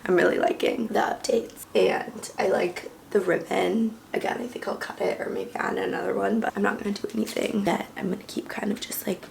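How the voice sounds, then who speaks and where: A young woman vlogger with a hoarse, fading voice, chatting casually to the camera and often slipping into a breathy half-whisper. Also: medium pitch, fairly even intonation, brisk, few pauses, slight background noise. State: hoarse, losing her voice. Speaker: lifestyle vlogger. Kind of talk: casual vlog chat to camera.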